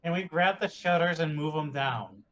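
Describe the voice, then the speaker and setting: A man's voice: a little high, moderate pace, fairly bright tone. A man talking casually as a player in a tabletop roleplaying game. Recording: clearly noisy microphone with clicks.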